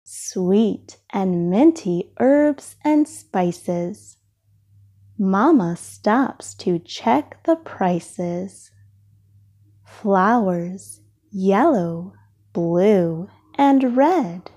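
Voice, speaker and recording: A mellow, slightly husky woman reads a picture book aloud for small children. She goes very slowly, with lively rises and falls, phrasing each bit deliberately and expressively. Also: mid-range voice. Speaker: storybook reader. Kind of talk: children's storybook read-aloud.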